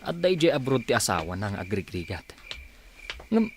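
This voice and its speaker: A man's voice: lowish voice, sing-song, moderate pace. A man playing a concerned, chatty village neighbor in a radio drama, given to muttering. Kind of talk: Ilocano comedy radio play acting. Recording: clearly noisy, insect chirps behind.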